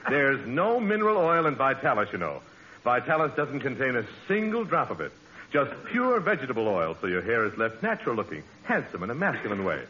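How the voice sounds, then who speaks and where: An actor playing a smooth, flattering pal who delivers a crisp, persuasive sponsor pitch within a 1940s radio comedy. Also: male voice, a little fast. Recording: muffled, hissy old radio broadcast.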